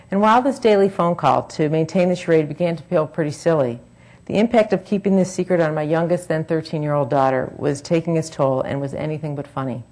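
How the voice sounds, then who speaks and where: Low-voiced woman reading a personal talk. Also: darkish tone, rough recording. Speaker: speaker at a public forum.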